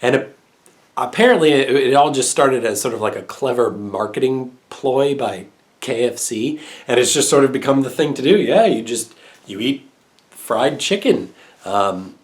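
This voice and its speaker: A man's voice: mid-range voice, animated, moderate pace. A young man talking casually in a vlog, his voice nasal and congested, rambling with lots of fillers and restarts. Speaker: English teacher abroad.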